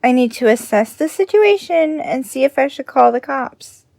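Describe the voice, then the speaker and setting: A woman's voice: sits high, lively intonation, normal speed, vocal fry, a little mellow, dry recording. A woman playing a wary but caring bookstore owner in an audio drama, blunt and no-nonsense in manner. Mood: wary, annoyed.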